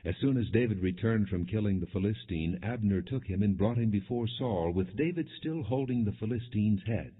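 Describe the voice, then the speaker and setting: A man narrating scripture as a scripted audiobook in a measured, solemn storytelling manner. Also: fairly low pitch, expressive, breathy edge. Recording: muffled, phone-like narrow band.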